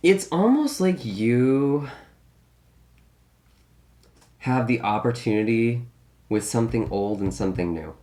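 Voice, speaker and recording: A man giving a casual tarot reading, slightly raspy from a recent illness, musing and thinking aloud with many hedges and restarts. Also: mid-range voice, animated, slow pace, pauses often, dark tone. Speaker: tarot reader.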